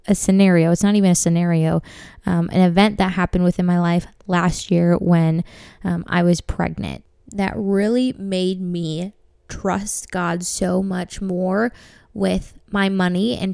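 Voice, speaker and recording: A young woman hosting her own podcast, talking casually about faith, money and her business goals, with a voice gone dry and raspy. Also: fairly low pitch, steady tone, measured pace, mellow, dry recording. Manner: chatty, laughs often, frequent ums. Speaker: podcast host.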